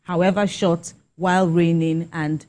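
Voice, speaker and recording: A woman's voice: lowish voice, level tone, measured pace, darkish tone. A woman with a Nigerian accent interviewing on television in a conversational way, circling wordily into her questions.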